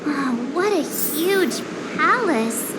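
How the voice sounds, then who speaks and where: An actress voicing a chatty, curious young girl who talks in a lively, upbeat way. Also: sits high, extremely slow, very noisy, exaggerated voice.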